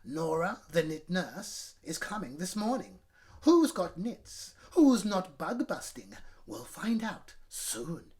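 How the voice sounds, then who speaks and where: A man's voice: high voice, very animated, takes it slowly, a little mellow, exaggerated voice. Guy doing a scary storybook lady teacher, growly, bossy, drags out her words. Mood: stern menace. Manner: growling, demanding, clipped orders. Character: fierce, stern, commanding woman schoolteacher. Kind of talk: children's storybook character.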